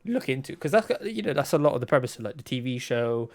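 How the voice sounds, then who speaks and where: A man hosting a relaxed conversational podcast, thinking aloud as he puts questions and ideas to a guest. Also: mid-range voice, quick pace. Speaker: podcast host, interviewer. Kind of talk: casual conversational interviewing.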